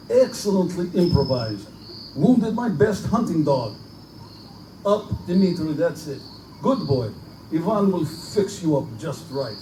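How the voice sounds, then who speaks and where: An actor playing a suave, aristocratic villain who hunts, speaking formally and condescendingly in live pulp-drama style. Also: male voice, a little high, warm tone, a little hiss or hum. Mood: amused, courtly. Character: suave aristocratic hunter general, gloating and courtly villain. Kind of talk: live pulp radio-play acting. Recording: echoey live venue, distant mic, music behind.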